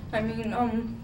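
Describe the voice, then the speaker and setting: A nervous young woman from Mexico, a native Spanish speaker, speaking haltingly with many ums as an award-winning student. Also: a little high, a little mellow. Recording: echoey hall from far off, faint noise.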